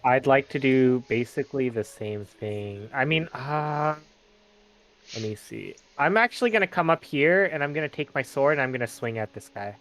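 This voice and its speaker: A man's voice: medium pitch, lively intonation, normal speed, a bit bright. A man speaking casually as a player in a tabletop roleplaying game.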